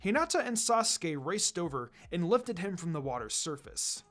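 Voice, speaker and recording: A man's voice: sits high, very animated, moderate pace, a bit bright. A man reading fan fiction aloud as a dramatic scripted narration. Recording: music under the voice. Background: background music.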